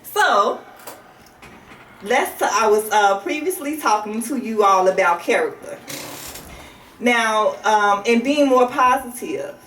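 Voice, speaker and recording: American female radio host, middle-aged, unhurried deliberate delivery, roomy studio sound. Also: a little high, animated, a bit bright. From Chicago, United States. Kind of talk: casual motivational radio talk. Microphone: studio microphone on desk. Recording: roomy, distant mic, faint background noise.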